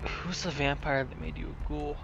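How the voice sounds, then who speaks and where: A man's voice: a little high, fairly even intonation, moderate pace, vocal fry, dull audio, over music. A man improvising in-character roleplay over a video game, casual, hesitant and stammering. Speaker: gamer roleplaying the player character.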